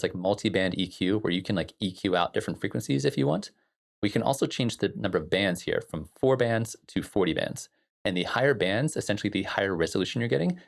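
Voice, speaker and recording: A man with a fairly low voice and a lot of vocal fry walks through music software as an instructor. His delivery is informal and explanatory, like a casual tutorial.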